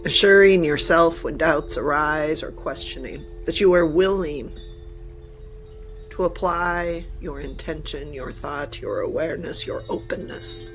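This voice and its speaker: Female meditation guide, markedly slow and deliberate, formal phrasing broken into short pieces, soft music and chimes behind. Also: sits low. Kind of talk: guided meditation, channeled message.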